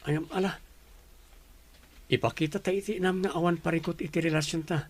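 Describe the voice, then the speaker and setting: A man's voice: fairly high pitch, fairly even intonation, fairly quick. A man playing a humble, self-doubting young boyfriend in a radio drama, polite and earnest. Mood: humble, downcast. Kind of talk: Ilocano radio drama acting.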